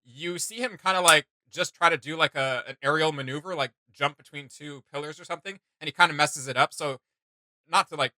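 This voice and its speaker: A man with a very bright, slightly high voice hosts a reaction video. He rambles along in casual, enthusiastic fan talk, recorded dry and close. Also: brisk, no echo. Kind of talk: casual chat.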